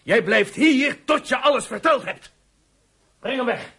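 Schorre, hoge, strenge politieondervrager. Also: male voice, extremely high pitch, expressive, a little slow, vocal fry, a bit bright, slightly rough, full character voice. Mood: threatening, commanding. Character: stern, pressing male police interrogator, impatient and sceptical. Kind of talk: Dutch radio drama acting.

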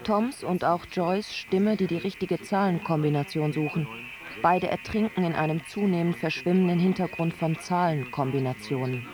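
A man's voice: on the high side, normal speed, crowd noise, natural voice. A man playing an urgent narrator in a radio drama, reciting script directions in staccato lists over music. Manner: staccato, listing. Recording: radio play with music underneath.

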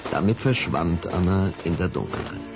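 A man's voice: low voice, animated, moderate pace, gravelly, music in the background. A man narrating a children's radio play as a scripted storyteller.

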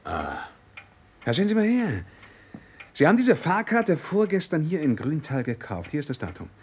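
A man's voice: a little high, huge pitch swings, normal speed, breathy edge, heavily acted. A man playing a shrewd, commanding police inspector in a German radio crime drama, questioning with dry, sardonic authority. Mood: probing. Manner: probing, authoritative, dryly mocking.

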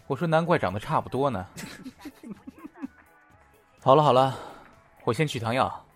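A man's voice: mid-range voice, expressive, measured pace, faint music behind. An actor playing a brusque, unbothered male veterinarian in an audio drama, curt and teasing. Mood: offhand, teasing. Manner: offhand, curt, mocking. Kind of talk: modern audio drama acting.

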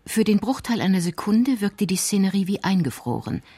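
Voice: medium pitch, big pitch swings, fairly quick, mellow, slightly rough, no room sound. A narrator reading scripted narration for a radio crime drama.

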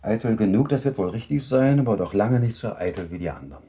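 Deep, gravelly middle-aged baron. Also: male voice, lively intonation, mellow, echoey room. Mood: amused, gallant. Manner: measured, formal, gallant. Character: much older baron, teasing, possessive husband. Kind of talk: German radio play acting.

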